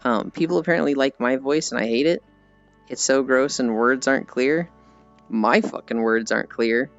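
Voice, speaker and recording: A man with an American accent talks casually to his livestream audience in a clean, smooth voice. He rambles and pokes fun at himself with the odd swear word. The audio is a little muffled, and music plays faintly behind him. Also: mid-range voice, moderate pace, dry recording. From United States. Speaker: livestreamer chatting with viewers. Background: background music.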